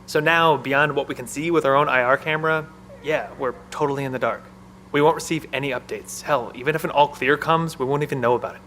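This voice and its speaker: A man's voice: medium pitch, steady tone, fast, fairly bright tone, a little hiss or hum, natural voice. An actor playing an anxious, protective male bunker technician and new father in an audio drama. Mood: grim, worried.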